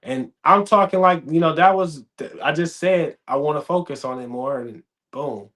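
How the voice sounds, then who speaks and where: Animated storytelling man host. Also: a little high, normal speed. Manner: animated storytelling, acts out quoted dialogue. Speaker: podcast host. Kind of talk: casual chat.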